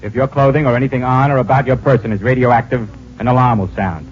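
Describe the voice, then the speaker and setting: An actor playing a clipped, official checkpoint guard in an old-time radio drama. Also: male voice, mid-range voice, steady tone, fast talker, close to an everyday voice. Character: brisk, procedural security guard at a checkpoint. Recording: muffled, noisy old radio recording.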